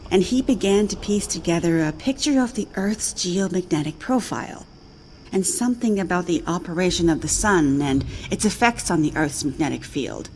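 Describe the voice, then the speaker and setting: An actress playing an older woman scientist in a video game, brusque and intense, speaking in a measured, learned and emphatic way. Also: fairly low pitch, a little hiss or hum, rough recording. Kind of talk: dramatic video game acting.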